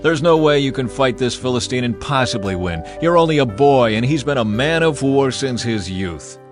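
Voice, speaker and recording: A man's voice: mid-range voice, animated, normal speed, music in the background, natural voice. A man voicing a doubtful king who talks in a stern, dismissive way.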